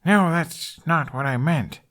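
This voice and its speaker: A man's voice: on the high side, takes it slowly, a bit bright, voice pushed up. Man playing an earnest, flustered teenage boy. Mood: bewildered. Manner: stammering, incredulous.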